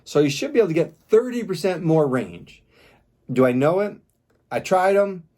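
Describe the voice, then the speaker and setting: A man's voice: high voice, big pitch swings, normal speed, a little mellow. A man doing an informal product review, talking casually as he demonstrates gear step by step.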